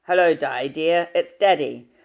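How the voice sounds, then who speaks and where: A woman reading aloud and voicing a cheerful middle-aged father, with a jovial, brisk and booming manner. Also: a little mellow. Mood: hearty.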